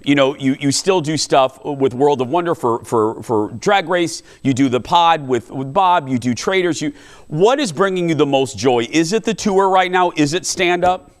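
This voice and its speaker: A man's voice: a little high, animated, normal speed. A man in his fifties hosting a talk show, asking questions with enthusiasm, frequent restarts and playful teasing. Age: 50s. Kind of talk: casual chat.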